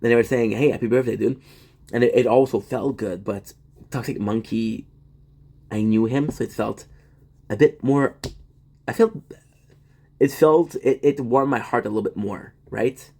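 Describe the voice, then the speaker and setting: A man's voice: mid-range voice, normal speed, pauses often, darkish tone, husky. Rambling Québécois man on a livestream. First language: French. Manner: rambling, restarts often, answers chat. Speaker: livestream host. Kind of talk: casual livestream chat.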